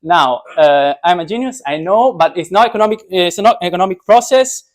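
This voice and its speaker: A man's voice: sits high, animated, a little fast. Joking young man giving an informal science talk. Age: young adult. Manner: joking, self-deprecating. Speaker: speaker, physics PhD student.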